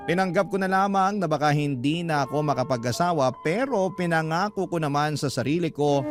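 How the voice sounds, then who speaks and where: A man narrating a woman letter sender's love story in the first person, as sentimental, measured radio drama storytelling. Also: a little high, lightly acted.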